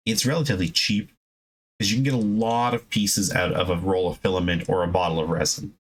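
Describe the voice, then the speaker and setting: A man hosting a hobby video, giving a casual, unscripted explanation in a conversational, halting way with self-corrections. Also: deep voice, steady tone.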